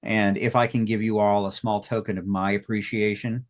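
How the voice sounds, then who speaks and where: A man's voice: on the low side, little pitch movement, moderate pace. A man speaking earnestly and sentimentally as a podcast creator in an unscripted retrospective, often repeating phrases for emphasis. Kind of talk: heartfelt unscripted retrospective talk.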